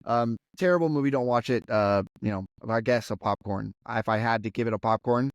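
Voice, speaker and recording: A man's voice: mid-range voice, a little fast, dry recording, muffled recording. Hesitant man bluntly panning a movie. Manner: hesitant, many "um"s, blunt verdicts. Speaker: podcast co-host. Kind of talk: casual movie review chat.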